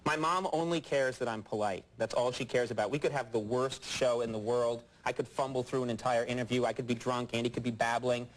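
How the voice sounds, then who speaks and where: Ведущий ток-шоу, мужчина, подшучивает над собой. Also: male voice, medium pitch, fairly even intonation, a little fast, few pauses, a little hiss or hum, rough recording. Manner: self-mocking, teasing, playful banter. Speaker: late-night talk show host. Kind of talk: joking late-night interview. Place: TV studio.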